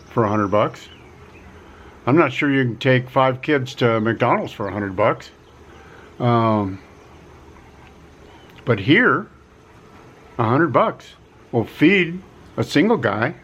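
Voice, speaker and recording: A middle-aged man talks straight to the camera in a folksy, repetitive way, leaning hard on key words. His voice sits at an ordinary middle pitch. The recording is a little dull and lo-fi. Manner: folksy, repeats himself, emphatic rises on key words. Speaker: vlogger offering relocation advice. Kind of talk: casual to-camera vlog pitch. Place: quiet rural home.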